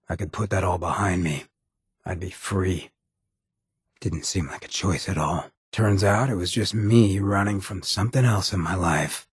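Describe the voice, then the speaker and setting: Very raspy, roguish ghoul. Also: male voice, fairly low pitch, no echo, studio quality, exaggerated voice. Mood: grim, reflective. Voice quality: husky, gravelly rasp. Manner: streetwise slangy drawl with swearing. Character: raspy, roguish ghoul mayor, streetwise, blunt, loyal. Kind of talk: video game companion dialogue.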